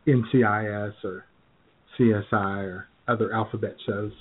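American male co-host, phone-quality audio, loose comic storytelling with hesitations and throat-clearing. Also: lowish voice, fairly even intonation, moderate pace. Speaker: radio show co-host. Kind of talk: casual comic chat, telling anecdotes. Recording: phone-like, narrow-band sound.

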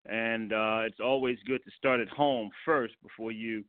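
Male interview guest, American, lowish pitch, measured and courteous, hesitant phrasing, tinny telephone audio. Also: fairly even intonation, dry recording. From Washington, D.C., United States. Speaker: guest film and stage director. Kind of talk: conversational interview answers. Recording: tinny narrow phone line.

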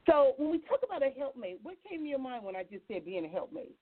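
A woman's voice: high-pitched, very animated, fast, slightly rough. A woman with an American accent hosting a casual, chatty live talk show, asking questions in an informal, folksy, conversational way. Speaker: talk show host taking calls. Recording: thin phone-like audio.

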